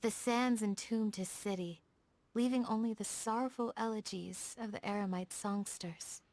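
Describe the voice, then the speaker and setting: A woman voicing a gentle, dutiful young desert village guardian in a video game, speaking in a soft, measured, courteous way. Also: medium pitch, steady tone, no echo, close to an everyday voice. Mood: solemn storytelling. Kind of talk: scripted game dialogue, storytelling.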